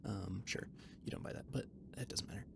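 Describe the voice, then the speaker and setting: A man's voice: lowish voice, fairly quick, creaky, in a whisper. A man playing a flustered, rambling catboy who cooks aboard a spaceship, hesitant and stammering, in an intimate roleplay audio drama. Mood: flustered, evasive. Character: flustered, rambling catboy ship's cook and mechanic, eager friend. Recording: noticeable background noise and ambience.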